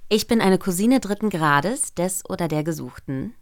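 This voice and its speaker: A woman guest on a podcast quiz, playful and quick to laugh as she reads clues aloud. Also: on the low side, expressive, background noise. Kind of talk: casual chat, reading quiz clues.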